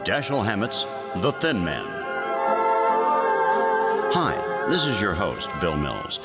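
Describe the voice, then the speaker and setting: A man hosting an audio archive show, giving a scripted introduction in a smooth presenter delivery. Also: fairly low pitch, wildly swooping pitch, slow. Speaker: host. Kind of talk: scripted narration. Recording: reverberant with loud music behind.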